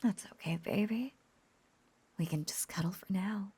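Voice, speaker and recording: A woman voicing an obsessive, possessive witch in an intimate roleplay audio drama, cooing and coaxing in soft, breathy half-whispers. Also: mid-range voice, a little slow. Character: lovesick yandere witch, obsessive, possessive, sweetly unhinged.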